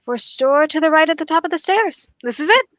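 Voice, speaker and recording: A woman playing a calm, courteous male detective in a formal, measured radio drama style. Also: sits high, heavy background noise. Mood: composed, courteous. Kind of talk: 1940s radio drama acting.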